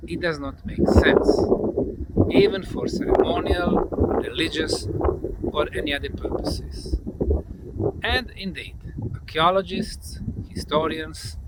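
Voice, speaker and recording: A man presenting a documentary to camera outdoors, speaking emphatically in deliberate phrases with dramatic pauses, in a windy, noisy location recording. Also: a little high, lively intonation. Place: outdoors in open countryside.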